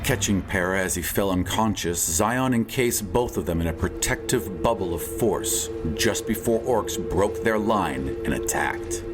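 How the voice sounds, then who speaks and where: A man with a fairly low voice narrates a scripted fantasy tale. Music plays clearly underneath his voice. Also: lively intonation, measured pace, hardly any pauses.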